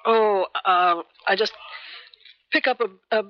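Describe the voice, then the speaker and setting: An actress playing a poised, determined government agent in a 1970s radio drama, cool and assertive. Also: female voice, medium pitch, takes it slowly, a little hiss or hum, natural voice. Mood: urgent. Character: poised, determined female American government agent, persuasive and secretive. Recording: dull 1970s radio broadcast recording.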